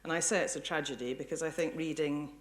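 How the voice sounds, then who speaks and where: A woman giving an articulate, measured public lecture with illustrative storytelling. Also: low voice, fairly even intonation, slight background noise.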